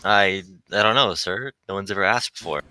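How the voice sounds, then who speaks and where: A man's voice: on the low side, normal speed, pushed and bright. A man voicing an earnest, polite female AI hologram who serves humans in a visual novel. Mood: puzzled, timid.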